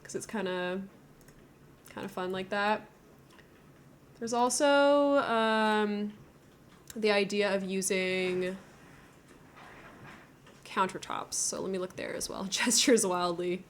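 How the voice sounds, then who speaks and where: A woman streams a building game and chats as she goes. She thinks out loud in a casual, giggly way, at a middling pitch. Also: slow, pauses often, slight background noise. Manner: chatty, thinking aloud, giggly.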